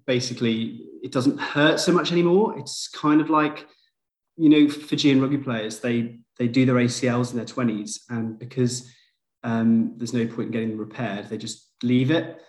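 A man's voice: medium pitch, steady tone, normal speed, darkish tone. A man from Liverpool, a GP, chatting as a podcast guest and telling stories in a self-deprecating way with plenty of fillers. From Liverpool, England. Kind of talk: casual conversation. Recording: roomy echo.

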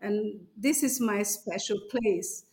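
A woman with a Portuguese accent speaks slowly at a medium pitch. She is an interview guest, halting now and then as she searches for words. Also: darkish tone, low-quality recording. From northern Portugal. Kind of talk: promotional interview answer.

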